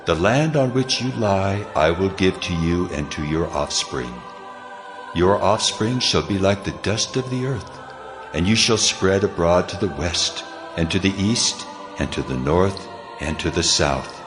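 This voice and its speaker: An actor playing God, solemn and declarative, in a dramatized scripture reading. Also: male voice, sits low, lively intonation, unhurried, over music. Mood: solemn, majestic. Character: God, a solemn male deity speaking promises.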